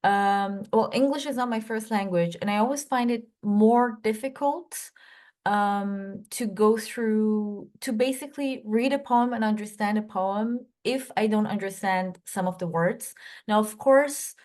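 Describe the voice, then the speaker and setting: A woman's voice: mid-range voice, unhurried, darkish tone. A woman casually explaining a poem she loves, hesitant and earnest, with frequent filler sounds and restarts. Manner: earnest, personal enthusiasm. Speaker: poetry video host.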